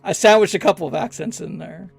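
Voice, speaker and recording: A man's voice: sits high, creaky, slightly rough. A Canadian man from Alberta commentating a live game stream and chatting with viewers in a loose, rambling, self-deprecating way. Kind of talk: live gameplay commentary while reading chat.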